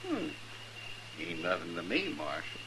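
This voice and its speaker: A man's voice: deep voice, huge pitch swings, unhurried, vocal fry, exaggerated voice. An actor playing a defiant, surly American outlaw gunman in 1950s western radio drama style. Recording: very noisy vintage radio recording.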